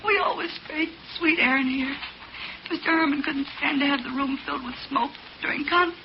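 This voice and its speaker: A woman's voice: high voice, brisk, creaky. A muffled, noisy old radio recording of an anxious young woman. She sounds earnest and urgent, with the melodramatic delivery of 1940s radio acting. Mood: worried, insistent.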